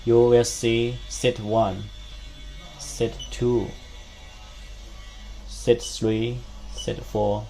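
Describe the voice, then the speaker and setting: A man who is not a native English speaker, giving a step-by-step product demonstration in short, halting phrases. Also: mid-range voice, level tone, dark tone, soft background music.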